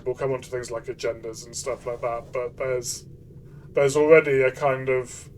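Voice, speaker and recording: A man's voice: medium pitch, recorded from a distance, slight background noise. A man co-hosting a casual game review, talking conversationally and hesitantly, repeating phrases as he builds his point. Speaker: co-host of a game review show.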